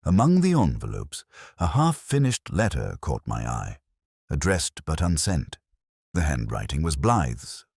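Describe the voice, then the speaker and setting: A man reading a scripted first-person detective story in a measured, literary and reflective way. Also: low voice, dry recording, studio quality. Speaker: narrator.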